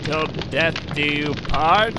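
A man playing a solemn old wedding priest in an animated fan dub, speaking formally and ceremoniously. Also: slow pace. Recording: noisy, rumbling background.

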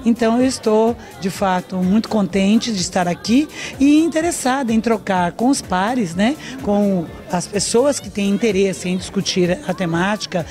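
An older woman sociologist answering interview questions in a measured, lecturing way, into a handheld reporter mic in a large meeting hall. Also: medium pitch, darkish tone. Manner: measured, lecturing, frequent "né?" tags.

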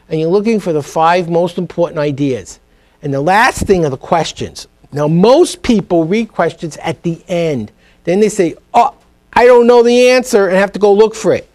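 A man's voice: sits high, expressive, normal speed, darkish tone. A middle-aged man being interviewed in a TV studio, talking emphatically and energetically about learning. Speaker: guest, speed reading teacher. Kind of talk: TV business interview. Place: TV studio set.

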